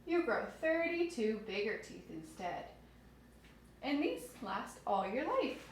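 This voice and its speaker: A woman's voice: fairly high pitch, takes it slowly. Woman reading to children in an echoey room. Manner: expressive, explains simply with questions to young listeners. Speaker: teacher reading aloud to young children. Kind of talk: children's read-aloud lesson with asides. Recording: very echoey room with faint noise.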